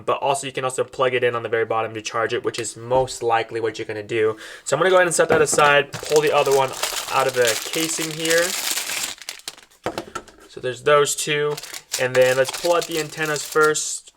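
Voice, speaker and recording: Man doing a casual unboxing, noisy background. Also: medium pitch. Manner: explanatory, step-by-step, addressing viewers. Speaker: product reviewer and presenter. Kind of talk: casual unboxing narration, demonstrating as he talks.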